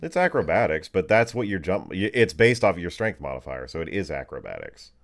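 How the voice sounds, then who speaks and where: A man working as game master, narrating a tabletop game and explaining rules casually, informal and animated, often fumbling his words. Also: low voice, a little fast, gravelly. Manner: informal, animated, fumbles words, uses makeshift sound effects.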